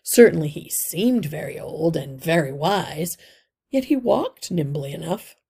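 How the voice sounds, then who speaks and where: Slow, expressive, husky woman storyteller. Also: low-pitched. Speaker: storyteller reading a children's novel aloud. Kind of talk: expressive scripted narration for children.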